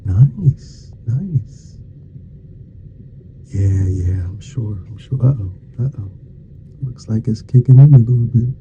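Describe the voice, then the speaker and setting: Soft asmr guy playing a dentist, real slow n murmury, folksy and reassuring, kinda muffled. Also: fairly low pitch, animated, background noise. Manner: folksy, reassuring small talk in short murmured phrases. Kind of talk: ASMR roleplay, soft-spoken to half-whispered.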